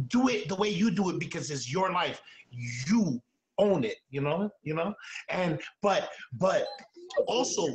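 A man's voice: sits high, echoey room, low-quality recording. A man with a Castilian Spanish accent, a streamer guest on a video call, giving punchy motivational talk that repeats its slogans. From Cuban, Haitian and Ecuadorian family.

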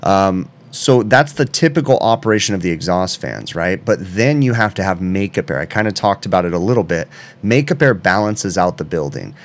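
Very deep-voiced man explaining a trade. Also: lively intonation, a little fast. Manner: explains step by step, often checks in with "okay?". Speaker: HVAC technician explaining a repair. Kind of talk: instructional explanation.

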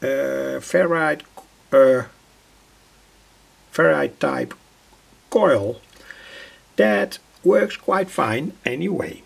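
An older man with a husky, gravelly voice, giving an unscripted workbench demonstration in halting, hesitant phrases. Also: a little high. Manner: halting, hesitant phrasing with repeated filler words. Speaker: radio hobbyist presenter. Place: indoor home electronics workbench.

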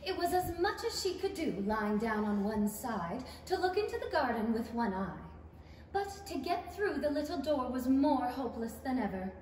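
Young female narrator, expressive radio-play storytelling; high-pitched, distant from the mic. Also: normal speed. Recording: distant, echoey stage with noise.

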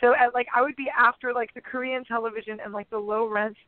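A woman guest in a casual interview, talking in a chatty, rambling way full of fillers, her voice a bit raspy. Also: on the high side, fairly even intonation, fast talker, no echo. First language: English. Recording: phone-line radio sound.

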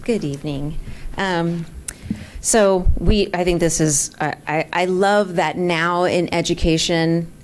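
A woman in her fifties, Spanish her first language, addresses a school board from the podium microphone. Her voice is low for a woman. She talks haltingly, stumbling and restarting her sentences with ums. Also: steady tone, a little hiss or hum. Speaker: public speaker. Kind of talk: public comment. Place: school board meeting room.

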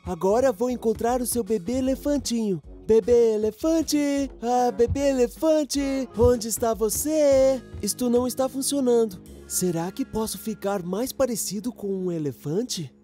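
A woman's voice: a little high, unhurried, hardly any pauses, faint music behind, natural voice. A woman voicing a cheerful, helpful young male tank engine in a Brazilian Portuguese children's cartoon dub, exclamatory and enthusiastic. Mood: friendly, confident. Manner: bright-eyed, enthusiastic, exclamatory.

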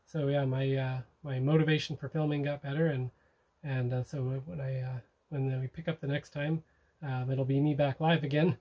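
A man's voice: medium pitch, level tone, normal speed, muffled recording. A middle-aged man hosting a sailing vlog, giving a casual, rambling recap to camera from inside a parked motorhome, with lots of fillers and restarts.

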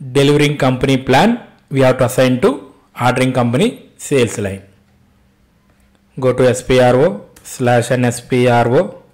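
A man with an Indian accent and a mid-pitched voice walks learners through software as an instructor. He talks off the cuff, step by step, often repeating phrases and asking himself short questions before answering them. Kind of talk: step-by-step screen-share tutorial, spoken off the cuff.

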